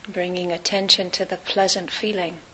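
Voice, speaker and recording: A woman teaching meditation, guiding listeners in short, unhurried phrases with long pauses between them. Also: on the low side, level tone, a bit bright, slight background noise, rough recording. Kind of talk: guided meditation.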